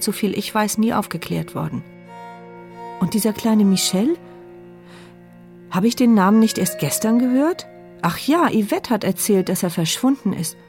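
A woman's voice: mid-range voice, darkish tone, lightly acted. An actress playing a blind, mute woman narrating her thoughts with a wry, sardonic edge. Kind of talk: radio play inner monologue.

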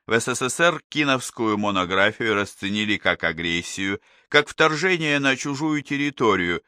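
A man reading a first-person memoir aloud as an audiobook narrator, measured, with emphatic stresses. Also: medium pitch, sharp tone, dry recording, clean studio sound.

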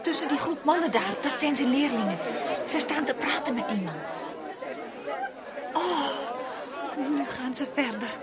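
Young maid, timid, obedient, fairly high voice, radio drama, noisy crowd behind. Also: female voice, lively intonation, measured pace, pauses often. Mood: excited. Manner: deferential, hesitant. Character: timid, obedient young maidservant of a colonial lady.

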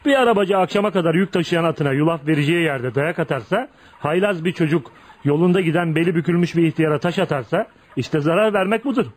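A man's voice: on the high side, fast talker, breathy edge. An actor playing a feared, ugly but kind-hearted tough man, blunt and probing, in a Turkish radio play. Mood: earnest, friendly. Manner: blunt, probing, folksy, punctuated with "ha?". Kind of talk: Turkish radio theater acting.

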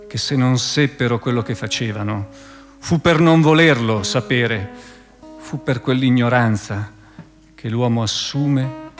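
A man narrates a literary text as radio theatre. He is solemn and measured, placing deliberate pauses in a mid-range voice. Faint plucked guitar music sits underneath. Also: mid-range voice. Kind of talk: dramatic scripted narration, theatrical literary reading. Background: soft music with plucked guitar.

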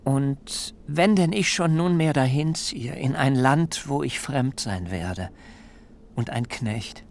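An actor playing a fearful, self-excusing biblical fugitive who pleads in wordy, theatrical rhetoric in a literary radio drama. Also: male voice, medium pitch, slow, gravelly. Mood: solemn pleading. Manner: theatrical, beseeching, rhetorical questions, hushed dips into whisper.

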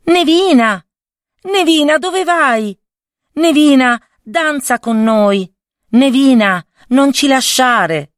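A tiny, playful storybook gnome , acted by a woman, calling out in a high voice. The pleas are drawn-out and affectionate, with very lively swoops, in a dry recording. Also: no echo. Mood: playful pleading.

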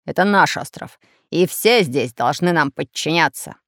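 A woman voicing a red-bearded male pirate captain, a gloating storybook villain with a hoarse, barking voice. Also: deep voice, animated, fairly bright tone, no echo, clean studio sound, exaggerated voice. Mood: bossy. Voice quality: hoarse, raspy. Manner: barking, gloating, drawn-out menace.

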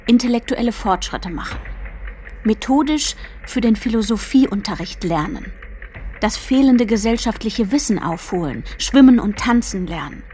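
A woman's voice: on the high side, darkish tone, slight background noise. An actress playing an earnest, self-disciplining teenage girl with romantic ambitions, speaking as an inner voice in a literary radio play. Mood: resolute.

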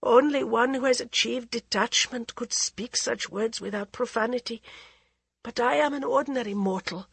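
A woman's voice: on the high side, normal speed, creaky, husky, dry recording, voice pushed up. A woman voicing a proud, desperate middle-aged queen who speaks in formal, pleading and defiant lines.

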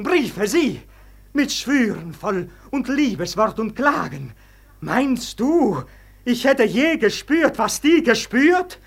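A man's voice: high voice, fairly bright tone, exaggerated voice. An actor playing a desperate, impassioned young nobleman in a verse radio play, theatrical and emotional. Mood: agitated confession. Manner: theatrical, emotional, swinging from cries to whispers. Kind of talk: declamatory verse radio drama acting.

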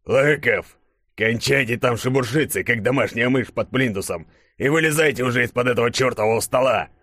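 A man's voice: lowish voice, moderate pace, fairly bright tone, no room sound, clean studio sound, pitched above the performer's own voice. Man playing a stern, curt male boss. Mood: irritated. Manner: formal, commanding, growling.

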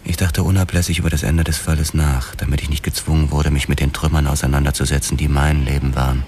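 Very deep grim detective. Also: male voice, level tone, fast talker, a little hiss or hum. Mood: grim, weary. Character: grim, exhausted hard-boiled male police detective narrating. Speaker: first-person narrator. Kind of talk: dramatic crime radio play narration. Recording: music loud behind the voice.

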